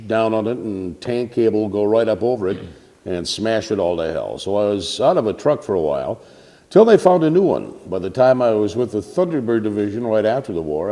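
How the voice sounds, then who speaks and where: An older veteran telling war anecdotes in a panel conversation. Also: male voice, on the low side. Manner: anecdotal, lively storyteller. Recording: reverberant community TV studio.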